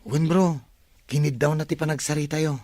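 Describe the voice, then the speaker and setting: A man's voice: on the high side, little pitch movement, a little fast, a little mellow, no echo, close to an everyday voice. An actor playing a loyal, upbeat young man, a best friend, in a radio drama. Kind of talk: Ilocano radio drama acting.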